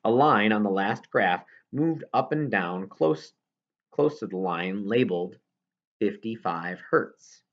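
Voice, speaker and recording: A man's voice: fairly low pitch, expressive, takes it slowly, muffled recording. A man reading a children's novel aloud as scripted narration, now and then stumbling over a word. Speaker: audiobook reader.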